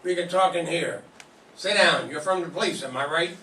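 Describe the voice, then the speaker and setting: An older man playing a smooth, smug middle-aged male cannery boss in a 1940s-style radio drama, suave and condescending. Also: fairly high pitch, moderate pace, close to an everyday voice. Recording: roomy classroom from a distant mic, faint hiss.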